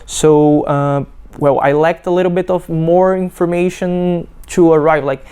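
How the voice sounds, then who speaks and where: Young man, Brazilian Portuguese accent, native Portuguese speaker, dark mellow tone, hesitant testimonial. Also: on the high side, fairly even intonation, clear, resonant tone. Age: young adult. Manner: halting, many fillers and restarts. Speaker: PhD student. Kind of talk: testimonial interview.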